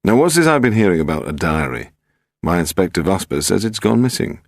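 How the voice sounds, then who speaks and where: Man acting brusque, gravelly British senior detective. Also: low voice, sing-song, a little fast, no room sound, clean studio sound, heavily acted. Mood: wry, matter-of-fact. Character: brusque, wry senior male London police detective. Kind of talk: audiobook character dialogue.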